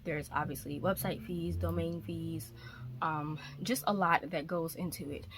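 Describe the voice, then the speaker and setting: A young woman talks to her viewers in a loose, meandering way, filling her pauses with ums. Her voice sits a little low and sounds stuffy and nasal, as if a cold is setting in. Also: background noise. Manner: relaxed, rambling, many ums. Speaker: YouTube ministry host. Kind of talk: casual chatty vlog.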